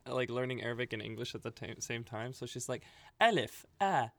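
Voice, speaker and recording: A man's voice: mid-range voice, lively intonation, a little fast, vocal fry, fairly bright tone. Young man rambling in casual chat. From Libya. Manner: rambling, many "like" fillers, laughs often.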